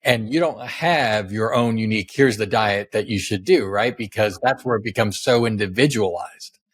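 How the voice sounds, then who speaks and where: A man hosting a podcast interview, measured and reflective, often checking in with a questioning "right?". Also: on the low side, slightly rough, no echo. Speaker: podcast host.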